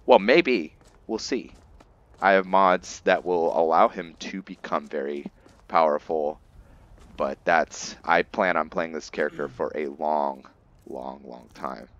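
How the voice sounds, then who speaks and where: A man's voice: extremely low pitch, slow pace, muffled recording. A man giving casual game commentary to viewers, rambling as he thinks aloud and explains things. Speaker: gameplay video creator, player. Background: music.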